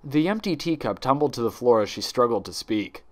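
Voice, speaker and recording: A man's voice: mid-range voice, animated, brisk. A male narrator reading a dramatic story aloud. His mid-range voice moves up and down a lot as he tells it.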